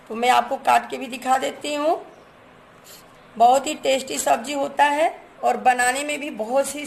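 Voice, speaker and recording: A woman's voice: high voice, vocal fry, a little hiss or hum, poor audio. A woman, hosting a cooking channel and chatting through each step while she shows her ingredients in a home kitchen. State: hoarse from a cold.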